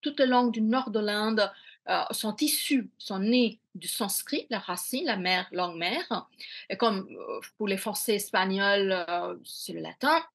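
A woman's voice: mid-range voice, expressive, a little slow, creaky, a bit bright, slightly rough. A middle-aged woman from India whose first language is Bengali, answering interview questions on a video call from a small room at home, reflective, with many fillers and restarts. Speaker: author.

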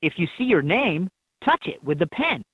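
A woman's voice: lowish voice, very animated, moderate pace, slightly rough, no room sound. A woman voicing an encouraging instructor in a children's animal game, giving scripted instructions in slightly dull game audio. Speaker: educational game voice.

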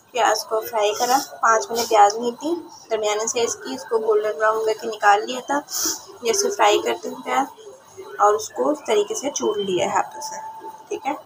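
A woman calmly guides viewers through a recipe step by step in a home kitchen, repeating "okay" and "look here" as she cooks. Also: a little high, background noise. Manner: homely, repeats "ठीक है" and "ये देखें", explains patiently. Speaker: home cooking channel host.

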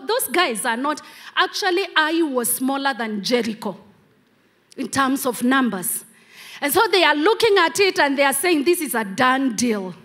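Kenyan lady pastor, high voice, talks real slow and stretches words, handheld mic in a big church. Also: a bit bright. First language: Kamba. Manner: emphatic preaching with repeated phrases and drawn-out words. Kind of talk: sermon. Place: large church hall, on stage.

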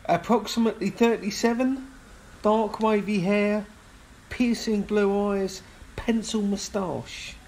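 A man's voice: high voice, steady tone, slow, a little mellow, a little hiss or hum, low-quality recording. A man playing a chatty, cheeky travelling salesman on a train in a comic radio play. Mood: gossipy.

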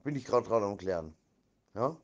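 A man's voice: fairly low pitch, lively intonation, moderate pace, a little mellow, muffled recording. Man rambling in slangy, repetitive speech.

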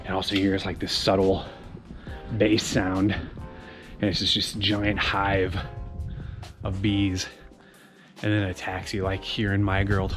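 A man in his thirties walking a wooded trail and talking to a handheld camera, chatty and excited about what he finds. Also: lowish voice. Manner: enthusiastic, chatty, exclaims often. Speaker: vlogger. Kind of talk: casual walking vlog narration. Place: outdoors on a wooded mountain trail. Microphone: camera held at arm's length.

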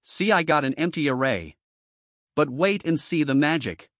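A man's voice: on the high side, lively intonation, normal speed, a bit bright, dry recording, clean studio sound. A man presenting a programming tutorial in scripted step-by-step narration.

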